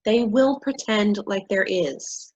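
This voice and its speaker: An actress in a staged play reading, playing a haughty, paranoid boss of a scheme with condescending theatrical delivery. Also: female voice, mid-range voice, slow pace, darkish tone, husky, highs cut off. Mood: conspiratorial, grandiose.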